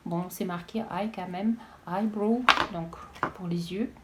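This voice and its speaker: A woman chatting casually as she unboxes beauty products, hesitant and halting with frequent restarts. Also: fairly low pitch, steady tone, heavy background noise. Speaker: beauty vlogger.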